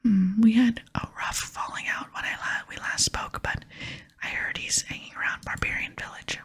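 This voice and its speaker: Boastful female treasure-hunting archaeologist for a game, read by a woman, breathy whisper, brisk and self-important. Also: on the high side, steady tone, a bit bright, voice pushed up. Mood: brisk, bossy. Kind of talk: whispered ASMR reading of game dialogue.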